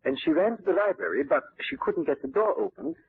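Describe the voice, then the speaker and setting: A nervous-to-please gardener from a 1940s radio play, polite and halting. His rough, husky voice swoops up and down through a tinny, narrow vintage recording. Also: high-pitched, big pitch swings, heavily acted. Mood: cautious. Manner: halting, polite, hems and haws. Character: hesitant, deferential male gardener being questioned. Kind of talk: 1940s radio drama acting.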